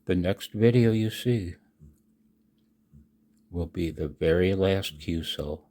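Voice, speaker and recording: Older American male, 60s, deep and unhurried, reading a personal statement for a hobby vlog with emphatic, dramatic delivery. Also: warm tone. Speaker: amateur radio vlogger. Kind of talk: reading own written announcement aloud with asides.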